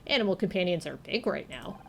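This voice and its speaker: A woman's voice: on the low side, lively intonation, vocal fry, a little hiss or hum. Woman doing a hesitant, evasive male showrunner. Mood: apologetic. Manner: hesitant, halting. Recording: music behind the voice.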